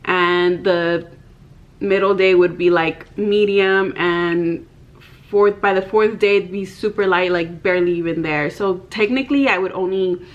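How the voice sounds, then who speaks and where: A woman of about thirty chats to a camera in her bedroom, open and frank and full of casual fillers. Her voice sits at an ordinary middle pitch. The audio is a little dull, as if the highs were rolled off. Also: a bit bright. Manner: chatty, candid, many "like" fillers. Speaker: vlogger. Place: bedroom, indoors. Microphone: camera in front, head and shoulders.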